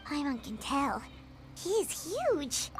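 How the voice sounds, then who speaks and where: A tiny cheeky fairy sidekick from a game. The voice is extremely high, squeaky and childlike, swooping around with excitable force over clear background music. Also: female voice, takes it slowly. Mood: excited. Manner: exclamatory, speaks of herself in third person. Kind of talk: video game voice acting.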